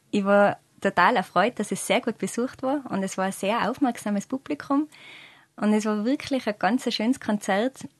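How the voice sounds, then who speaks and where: A woman's voice: medium pitch, no echo. A middle-aged woman from Tyrol with a Tyrolean accent, answering interview questions casually and searching for her words as a guest singer-songwriter. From Tyrol, Austria.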